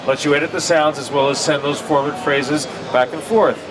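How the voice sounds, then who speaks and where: A man's voice: on the high side, steady tone, moderate pace, noisy background. A middle-aged man giving a product demonstration at a trade show booth over a headset mic. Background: synthesizer sounds.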